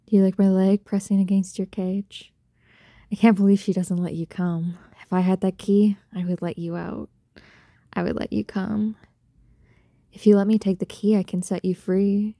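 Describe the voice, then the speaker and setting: A woman speaking in an intimate adult roleplay style straight to the listener, soft, breathy and coaxing, full of doting pet names. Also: medium pitch, little pitch movement, normal speed, no room sound, clean studio sound. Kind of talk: intimate erotic roleplay audio, addressing the listener.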